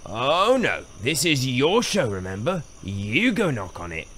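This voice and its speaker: A man's voice: mid-range voice, big pitch swings, unhurried, fairly bright tone. A man playing a wary, exasperated young companion in a fantasy audio drama, sarcastic and reluctant.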